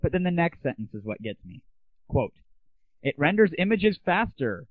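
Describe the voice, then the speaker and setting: A man's voice: on the high side, big pitch swings, moderate pace, no echo. An American man hosting an online audio show, ranting in a brash, sarcastic, mocking way. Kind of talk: irreverent solo show, ranting commentary. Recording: dry, band-limited lo-fi voice.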